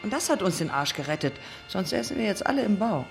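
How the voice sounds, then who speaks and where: A woman's voice: mid-range voice, very animated, quick pace, vocal fry. An actress playing the blunt, hard-nosed woman leader of a robber gang in a German radio drama, with music behind her. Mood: businesslike. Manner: blunt, mocking, commanding.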